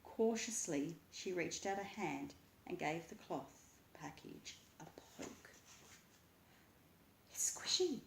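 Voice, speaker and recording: A woman reading a children's book aloud with expressive, deliberate, dramatic narration. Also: fairly low pitch, a little hiss or hum. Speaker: story reader. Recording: roomy, echoing voice.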